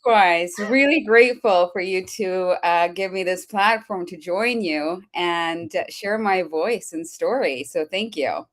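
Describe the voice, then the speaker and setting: A woman from Kenya speaking as a guest on a live podcast, cheerful and quick to laugh. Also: lowish voice.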